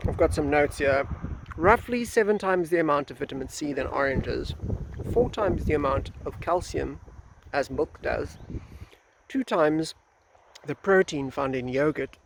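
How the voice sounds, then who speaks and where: A man in his thirties with a South African accent and a slightly hoarse voice, hosting an informal gardening vlog outdoors on a phone. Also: a little high, unhurried, low-quality recording. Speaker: gardening video host, nursery gardener. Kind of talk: informal vlog talk to camera. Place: outdoors in a breezy garden nursery. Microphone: phone at arm's length. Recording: outdoor phone recording with faint background noise.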